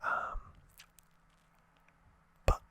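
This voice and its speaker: An ASMR host whispering a recap of trivia in a breathy, unvoiced voice, with halting, informal asides. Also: bright, forceful tone.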